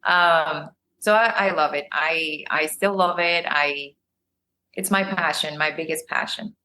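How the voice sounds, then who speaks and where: Bright-voiced woman, Spanish her first language. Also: low voice, measured pace, slight background noise. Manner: relaxed, laughs easily, frequent ums. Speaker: podcast guest. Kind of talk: casual interview chat.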